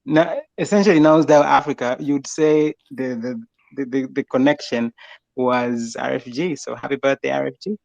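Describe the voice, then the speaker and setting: A man joining a casual audio chat as a guest, talking haltingly with many fillers. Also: medium pitch, fairly even intonation, creaky, a little mellow, dry recording, highs cut off.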